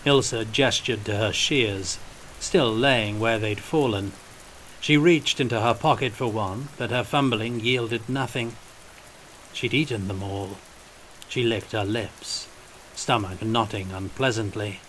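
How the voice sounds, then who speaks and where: A man narrating scripted fiction as an audiobook reader, in a deliberate, measured manner. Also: lowish voice, fairly bright tone, a little hiss or hum, rough recording.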